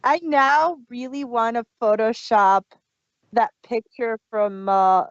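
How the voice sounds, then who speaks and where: A woman's voice: a little high, steady tone, slow, dry recording, muffled recording. A woman co-hosting a podcast, talking casually as a fan in discussion. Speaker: podcast co-host.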